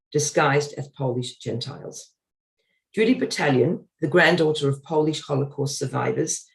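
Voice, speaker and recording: A man with a fairly high voice gives an earnest community lecture. He reads parts of it from his notes and fills the gaps with ums and uhs. He explains things in a plain, steady way. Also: gravelly, poor audio. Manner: hesitant, frequent ums and uhs. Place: synagogue talk.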